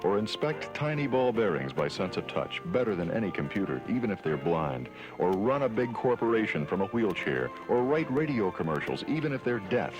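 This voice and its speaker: A man's voice: fairly low pitch, big pitch swings, fairly quick, dull audio. A man reading a scripted radio public service spot with emphatic, list-like build-up. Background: music.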